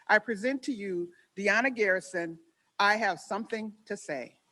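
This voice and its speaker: A woman's voice: mid-range voice, pushed and bright. A woman emceeing a commemorative event, reading formal speaker introductions. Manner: formal, measured reading from a script.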